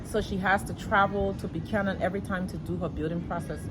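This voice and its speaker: A woman hosting a casual interview, prompting her guest gently. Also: fairly low pitch, fairly even intonation, quick pace, low-quality recording. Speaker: host. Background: music.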